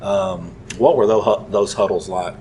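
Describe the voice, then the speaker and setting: A man hosting a sports talk show, asking drawn-out, hesitant questions. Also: lowish voice, steady tone, a little mellow, a little hiss or hum. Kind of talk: interview questions.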